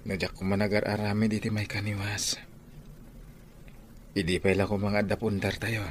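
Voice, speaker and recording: A man's voice: on the low side, completely flat delivery, moderate pace, close to an everyday voice. A man playing a humble young husband and dutiful son in an Ilocano radio drama, earnest and respectful, with an Ilocano Filipino accent. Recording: noisy background ambience.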